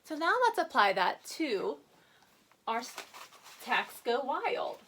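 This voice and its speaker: A woman teacher giving a read-aloud reading lesson to children, instructing with questions, prompts and deliberate emphasis on key words. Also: on the high side, very animated, a bit bright, slight background noise. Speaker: elementary school teacher.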